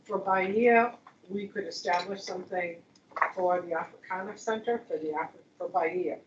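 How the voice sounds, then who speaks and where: A woman's voice: fairly low pitch, normal speed, background noise. A woman faculty member in casual discussion, rambling and thinking aloud with frequent restarts. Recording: distant echoey room mic, dull sound.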